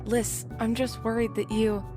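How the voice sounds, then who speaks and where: A woman's voice: on the high side, level tone, unhurried, lightly acted. A woman acting a tough, cynical security guard in an audio drama, blunt, serious and protective. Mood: fed up. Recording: music behind the voice. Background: background music.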